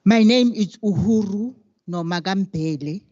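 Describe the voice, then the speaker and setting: An older South African woman activist with a very deep voice, introducing herself on a panel in a slow, rousing, declamatory way. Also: warm tone, dry recording. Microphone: handheld microphone. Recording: dull lo-fi sound.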